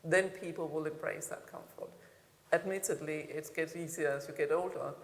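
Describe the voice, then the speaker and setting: Danish-accented woman with a gravelly voice. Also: low voice, steady tone, moderate pace. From Denmark. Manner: reflective, candid, with dry humour. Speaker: keynote speaker. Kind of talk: answering audience questions at a panel. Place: large hall. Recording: echoey hall with faint ambience.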